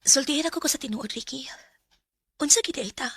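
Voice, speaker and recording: A woman's voice: sits high, brisk, very noisy. An actress playing a hurt young woman confronting her lover in a radio drama, with a Cebuano Filipino accent. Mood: pleading.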